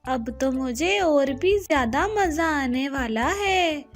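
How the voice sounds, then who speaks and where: A woman's voice: sits high, expressive, slow, soft background music, full character voice. A woman voicing a gloating, bossy, greedy female kite bird in a children's cartoon.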